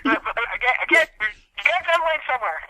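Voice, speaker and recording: A man's voice: extremely high pitch, lively intonation, quick pace, sharp tone, no echo. A young man co-hosting a podcast, ranting casually and comically in an exasperated, hyperbolic, profane way. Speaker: podcast co-host.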